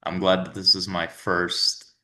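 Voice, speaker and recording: A young man talks as a podcast guest, easygoing and loose, full of "um"s and restarts. His voice sits very low and stays level, with a bright, forceful edge. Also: unhurried. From New York, United States. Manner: relaxed, rambling with many fillers and restarts. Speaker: guest, company founder. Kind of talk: casual interview conversation.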